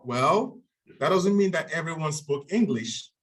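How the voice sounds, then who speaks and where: A man's voice: on the high side, measured pace. A man in his twenties from Nigeria, speaking English with a Nigerian accent as a second language, giving a structured, prepared talk as a panel speaker. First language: Igbo. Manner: structured, steady, uses signpost words.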